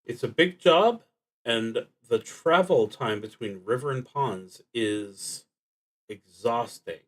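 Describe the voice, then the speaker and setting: A man with a mid-range voice commentates on his solo roleplaying session as he plays. He speaks very slowly and deliberately, often pausing in the middle of a thought as he works things out aloud. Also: mid-range voice, lively intonation. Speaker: streamer playing a solo tabletop roleplaying game. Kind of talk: live solo game commentary, thinking aloud.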